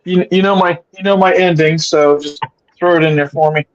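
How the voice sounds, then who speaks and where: A man chatting casually as a talk podcast guest, opinionated and crude, often halting as he speaks. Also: fairly high pitch, steady tone, muffled recording, a crowd in the background. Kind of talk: casual chat.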